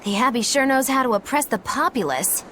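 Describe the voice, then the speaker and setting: An actress playing a sardonic young woman in a video game, with a deadpan, flippant delivery. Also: sits high, brisk, a little hiss or hum. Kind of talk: English video game voice acting.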